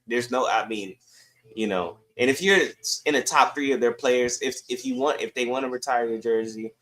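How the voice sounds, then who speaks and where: A man's voice: medium pitch, moderate pace, fairly bright tone, rough recording. A man with a mid-range voice hosts a casual sports podcast. He talks in loose slang, gives his opinions freely and repeats phrases to press a point.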